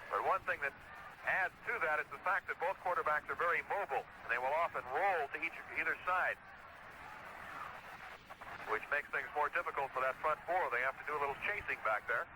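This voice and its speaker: High-pitched American sportscaster on noisy old radio. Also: male voice, a little fast. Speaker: radio play-by-play announcer. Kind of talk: live American football commentary. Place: stadium broadcast position. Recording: noisy 1970s radio broadcast recording.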